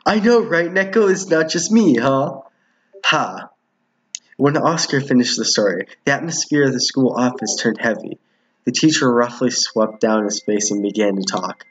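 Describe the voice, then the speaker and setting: A man's voice: medium pitch, normal speed, a bit bright, breathy edge, poor audio. A man reading a visual novel aloud as a gaming host, with casual, slangy reactions.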